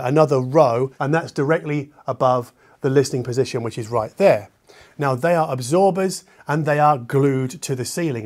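A middle-aged man speaks to camera as the host of an audio channel. His voice sits a little higher than average for a man. He explains in a conversational, emphatic way, dropping into muttered asides, captured on a lavalier mic in a living room. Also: darkish tone. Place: treated living room indoors. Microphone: omnidirectional lavalier.

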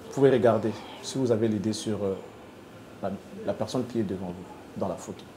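Mellow-voiced Congolese male interviewer. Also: a little fast, a little hiss or hum, low-quality recording. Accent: Congolese French. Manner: low-key, brief prompting questions. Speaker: interviewer, host. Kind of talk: casual interview questions. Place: TV studio.

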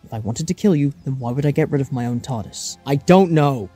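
A man's voice: medium pitch, brisk, dark tone, faint music behind, lightly acted. A man performing earnest, alarmed adventurers in a fan-made audio drama, with dramatic, emotive delivery. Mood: tense, pleading. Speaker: voice actor performing all parts.

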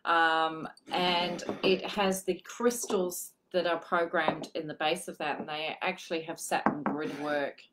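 A woman in her forties, a guest healer on a live video chat, explaining crystals and energy calmly. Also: low-pitched, a little slow, few pauses, heavy background noise. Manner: calm, flowing spiritual explanations. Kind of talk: live-streamed spiritual chat. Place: home room with a paper screen. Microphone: video call webcam.

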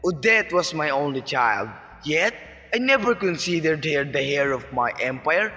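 In a reverberant hall, a man acts out an arrogant middle-aged tycoon father for a student radio drama. His voice is raised in pitch and forceful, pompous and dripping with contempt. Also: sing-song, normal speed, heavily acted. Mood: scornful. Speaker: voice actor.

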